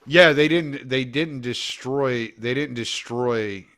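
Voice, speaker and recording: A man's voice: medium pitch, slow pace, a bit bright. A man hosting a podcast, talking casually and bluntly over a remote call, with a self-deprecating manner and some swearing. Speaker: podcast host.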